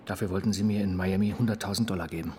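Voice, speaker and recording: Actor doing broken lovesick guy confessing to murder, low halting voice, radio play. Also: fairly even intonation, darkish tone, slight background noise, close to an everyday voice. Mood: subdued confessing. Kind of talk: crime radio drama acting.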